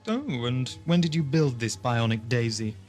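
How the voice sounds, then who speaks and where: A man's voice: medium pitch, animated, normal speed, a little mellow, faint music behind, natural voice. A man playing an inquisitive, wry and polite time-travelling adventurer in an audio drama, with a questioning manner. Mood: wry, curious.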